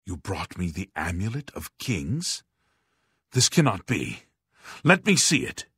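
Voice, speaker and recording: A man playing a grave aging monk and former guard captain in a fantasy video game, speaking formally and deliberately with a husky voice. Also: low voice, very animated, pushed and bright, no echo, studio quality, exaggerated voice. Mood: astonished, alarmed. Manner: formal, solemn, deliberate enunciation.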